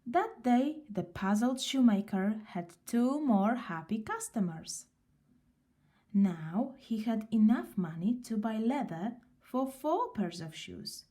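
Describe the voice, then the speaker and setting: Slow, mellow female storyteller. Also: a little high. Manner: unhurried, expressive narration. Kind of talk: children's story read aloud.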